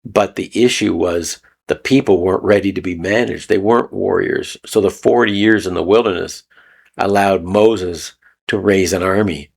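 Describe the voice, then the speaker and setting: A man's voice: sits low, normal speed, gravelly, a little mellow, slightly rough. A man from Canada giving a rambling, casual spoken teaching talk, repeating phrases and chuckling often. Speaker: video host. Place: lives in Mexico.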